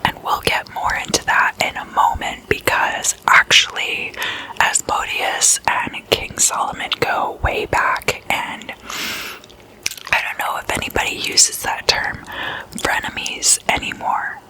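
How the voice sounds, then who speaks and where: Asmr host whispering real slow n breathy, casual storytelling, super intimate, repeats words softly. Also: poor audio.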